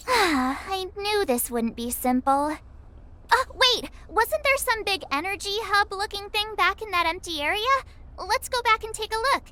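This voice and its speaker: A woman's voice: very high voice, animated, measured pace, bright, forceful tone, heavily acted. A woman voicing a perky, cheeky young adventurer girl in a video game, with an exclamatory, teasing manner. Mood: casual, teasing.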